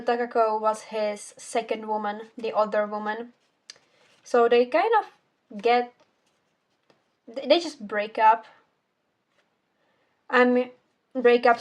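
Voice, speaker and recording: A teenage girl whose first language is Czech, casually reviewing a book in a rambling, self-correcting way with casual swearing. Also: on the high side. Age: teens. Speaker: book vlogger.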